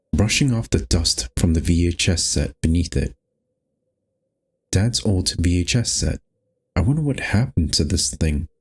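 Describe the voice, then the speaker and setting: Male game host, deep and husky, reading story text and dialogue aloud. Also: darkish tone. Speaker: gaming host. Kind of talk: reading visual novel narration and lines aloud.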